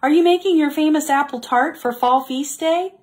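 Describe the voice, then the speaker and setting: A woman's voice: high voice, moderate pace, voice pushed up, lightly acted. A woman voicing a kind, friendly female porcupine in a storybook read-aloud. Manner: cheerful and generous.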